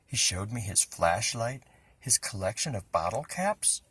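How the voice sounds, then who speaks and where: Expressive, bright-voiced man reading a storybook. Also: lowish voice, measured pace, husky. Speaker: storybook reader. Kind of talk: expressive children's story narration.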